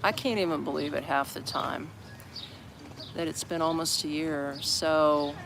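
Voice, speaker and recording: A man's voice: on the high side, steady tone, unhurried, creaky. Chatty American man live-streaming outdoors. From Orlando, Florida, USA. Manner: rambling, chatty, greets viewers. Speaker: expat livestreamer. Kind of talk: casual live stream chat. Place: outdoors on steps in a busy city park. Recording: noisy outdoor city street. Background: street and traffic noise.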